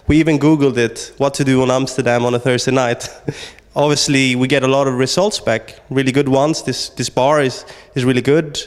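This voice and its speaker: Man from Sweden, startup founder, monotone, smooth voice, long room reverb. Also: medium pitch. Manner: hesitant, many fillers and restarts. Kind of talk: interview answers.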